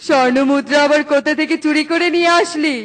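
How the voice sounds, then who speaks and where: A woman's voice: high-pitched, fairly even intonation, fast talker, recorded from a distance, soft background music, lightly acted. A woman voicing a bossy, suspicious eagle in a children's cartoon, brusque and accusing.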